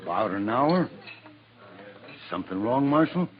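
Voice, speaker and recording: An actor playing a cowardly, defensive American drifter with an evasive manner in a 1950s radio Western. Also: male voice, medium pitch, big pitch swings, unhurried. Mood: surly, wary. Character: shifty male frontier drifter, defensive and cowardly. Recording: lo-fi radio recording, noisy saloon crowd behind.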